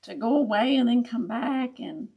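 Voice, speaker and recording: A woman with a raspy voice, chatting casually with viewers as she hosts a live craft tutorial. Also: a little high, lively intonation, normal speed, muffled recording, noisy background. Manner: chatty, laughs often, talks to viewers as y'all.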